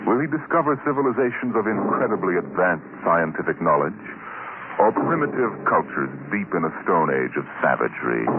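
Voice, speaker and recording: A man announcing and narrating in a dramatic 1950s radio style, solemn and theatrical, with deliberate phrasing. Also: low voice. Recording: muffled, very noisy old radio recording.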